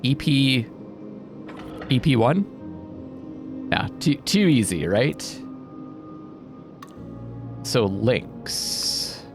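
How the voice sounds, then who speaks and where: A man with a mid-pitched, very lively voice talks through a game as its host. He muses aloud in a casual way, often questioning himself, with music playing behind him. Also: drawn-out words, pauses often. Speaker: let's play host. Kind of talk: casual gaming commentary, thinking aloud and reading out game text.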